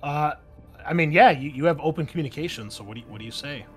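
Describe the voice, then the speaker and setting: A man's voice: a little high, expressive, quick pace, a bit bright, breathy edge. A man narrating a tabletop roleplaying game as game master in an informal, conversational way. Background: background music.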